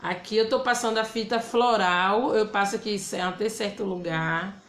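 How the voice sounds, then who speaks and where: A woman's voice: medium pitch, normal speed, a bit bright. A woman from Bahia with a Bahian Brazilian accent, hosting a casual live craft demonstration and chatting with viewers by name. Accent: Bahian Brazilian Portuguese. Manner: chatty, greets viewers by name and reads out their comments. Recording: roomy echo.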